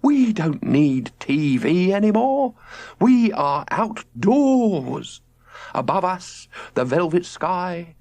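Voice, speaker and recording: Man playing a pompous, theatrical father, slow and lofty. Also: high voice, sing-song, darkish tone, no echo, pitched above the performer's own voice, exaggerated voice. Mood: appalled, grandiose. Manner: declamatory, drawn-out, breathy exclamations. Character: pompous, theatrical middle-aged father, rapturous about nature, easily appalled.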